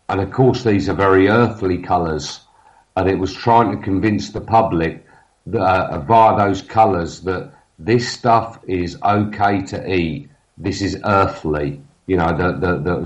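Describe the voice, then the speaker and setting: A man, talking casually in a halting way with many fillers and restarts. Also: deep voice. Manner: halting, many fillers and restarts, loses his train of thought. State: has a cold. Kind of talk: casual podcast conversation. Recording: dull lo-fi sound.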